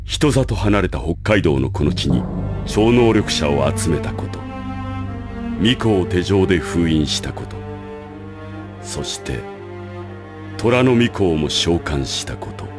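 Deep smooth-scheming anime principal, formal and measured, music behind him. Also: male voice, lively intonation. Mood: calm, calculating. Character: calm, calculating male school director with secret plans. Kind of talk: anime audio drama acting.

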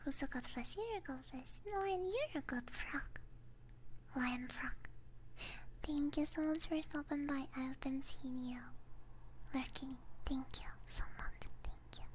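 A woman streaming ASMR, chatting to her viewers in a soft, gentle, unhurried whisper, close to a binaural microphone. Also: high voice, a little hiss or hum.

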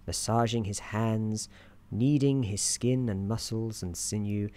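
Very deep-voiced, soft-spoken woman narrator. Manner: measured, deliberate, theatrical storyteller phrasing. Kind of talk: soft-spoken ASMR roleplay, scripted storybook-like narration. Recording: keyboard clicks and faint noise. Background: computer keyboard typing.

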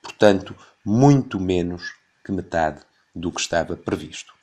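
A man in his thirties with a European Portuguese accent, reading a critical radio commentary and reciting long figures in a measured, careful way. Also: sits low, lively intonation, dark tone, husky. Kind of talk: scripted opinion commentary. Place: radio studio.

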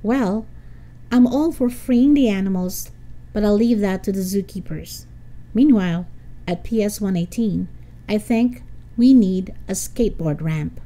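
A woman's voice: medium pitch, unhurried, dark tone, lightly acted. A woman voicing a sensible, earnest young schoolboy with a plain, mild manner in a storybook reading.

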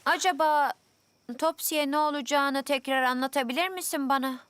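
A woman's voice: sits high, fairly even intonation, normal speed, a bit bright, dry recording. A woman voicing an eager, caring little boy in a children's cartoon dub. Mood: curious.

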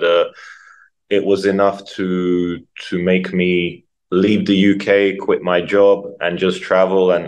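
A man talks as a podcast guest. His voice sits low and stays fairly flat. He speaks reflectively and often stops to repeat words. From Croatia, raised in London. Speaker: guest, nonprofit founder. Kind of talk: casual interview talk.

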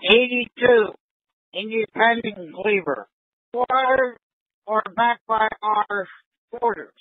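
A man's voice: high-pitched, slow, no echo. A man giving emergency traffic over a two-way radio. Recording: narrowband fire radio transmission.

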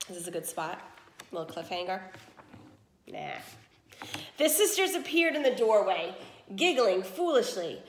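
A woman reading a children's story aloud expressively, pausing to put questions to listeners and explain words. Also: mid-range voice, very animated, echoey room. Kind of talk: expressive read-aloud narration with asides to listeners.

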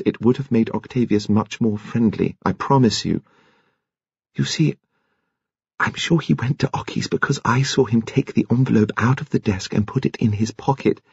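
A man reading the part of a refined young English woman in an audiobook, earnest, halting and upset. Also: lowish voice, vocal fry, darkish tone, slightly rough, voice pushed up, full character voice. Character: distressed, refined young English woman pleading for her father. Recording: muffled, echoey room.

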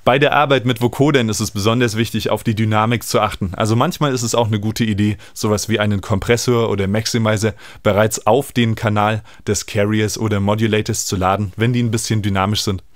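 A man, explaining music software informally as he demonstrates it in a tutorial. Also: fairly low pitch, fairly even intonation, brisk, few pauses, no echo, studio quality. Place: small indoor home studio. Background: electronic music he is working on.

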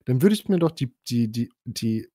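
A man chatting casually on a podcast, excitable and crude, swearing and mixing in English slang. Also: medium pitch, normal speed, dry recording, clean studio sound. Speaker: podcast host. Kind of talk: casual chat.

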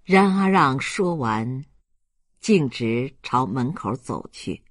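A man's voice: mid-range voice, expressive, takes it slowly, fairly bright tone, no room sound, studio quality. A man narrating a literary audiobook in a dramatic storytelling style.

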